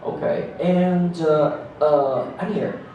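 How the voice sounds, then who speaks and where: A man's voice: on the high side, takes it slowly, highs cut off. A man leading a classroom discussion, asking students questions in a friendly, chatty way and laughing often. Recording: very echoey room, mic far off, faint noise.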